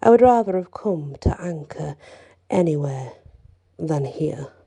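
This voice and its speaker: A woman's voice: low voice, lively intonation, unhurried, gravelly, mellow, slightly rough, exaggerated voice. A woman voicing a fearful male ship captain with an English accent, giving an urgent, emphatic warning. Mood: grave warning.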